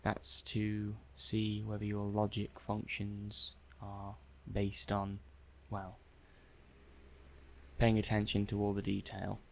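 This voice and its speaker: A man playing a hesitant, curious robot technician in an ASMR roleplay, halting and musing aloud. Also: on the low side, monotone, creaky, a little hiss or hum. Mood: matter-of-fact. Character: hesitant, curious male robot technician running software tests. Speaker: audio roleplay performer. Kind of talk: soft-paced ASMR roleplay monologue.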